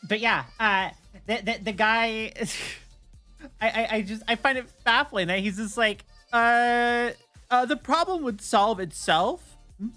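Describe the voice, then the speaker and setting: A woman's voice: on the high side, slow, sharp tone, soft background music. A woman streamer chatting casually and animatedly, swearing freely as she talks. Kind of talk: casual livestream chat.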